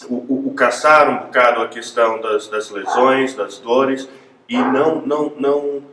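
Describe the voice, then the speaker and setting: A man's voice: medium pitch, fairly even intonation, unhurried, bright, forceful tone. A man with a European Portuguese accent interviewing conversationally, repeating words as he forms his thoughts. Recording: echoey room, distant mic, faint noise.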